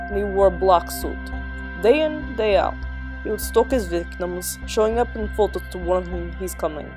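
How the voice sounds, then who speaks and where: A woman voicing a huge man who tells a creepy story in a hushed, menacing way in a fanfic reading. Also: mid-range voice, close to an everyday voice.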